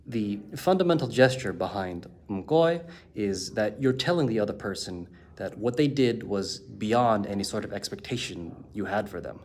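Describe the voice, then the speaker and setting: A man explaining the ideas behind a language point in a measured, scripted style, leaning on key words. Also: lowish voice. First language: English.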